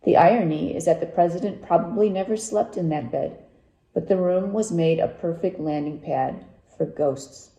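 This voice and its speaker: A woman reads a true ghost story aloud as an author presenting to young readers. Her voice is low and very dark and soft-edged, with plenty of creak. The recording has a clear roomy echo. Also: moderate pace.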